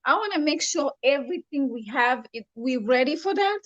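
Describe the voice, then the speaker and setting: A woman co-host with a high voice who talks about connecting people with investors in a chatty way, full of fillers. The sound is dry and close, with a dull, lo-fi tone. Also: lively intonation, brisk, no room sound. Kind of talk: casual talk show discussion.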